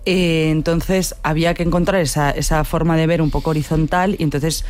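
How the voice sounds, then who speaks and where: A man's voice: fairly high pitch, fairly even intonation, fairly quick. A man, a director, answering interview questions thoughtfully with frequent hesitations. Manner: thoughtful, hesitant, many "em" and restarts.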